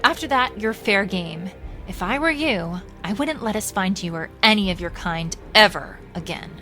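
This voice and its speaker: A woman's voice: medium pitch, expressive, moderate pace, over music, lightly acted. A woman playing a tough, principled human vampire hunter in a fantasy audio drama, commanding and taunting. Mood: stern command. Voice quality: bright, forceful.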